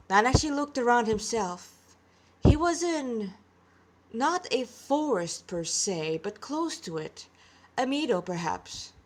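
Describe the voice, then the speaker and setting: A woman with a fairly high voice reads a story she wrote. She moves between narration and informal, chatty explanation. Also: low-quality recording. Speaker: author reading own story. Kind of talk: story narration with casual asides.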